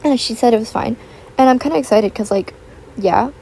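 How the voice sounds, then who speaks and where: A young woman, raspy from just waking up, rambling chattily over a voiceover and recorded at home on a built-in tablet mic. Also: on the high side, a little fast, warm tone, slight background noise, poor audio. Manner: rambling, filler-heavy, chatty. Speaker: YouTuber. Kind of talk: casual chat voiceover. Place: home, with household noise. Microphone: built-in tablet microphone.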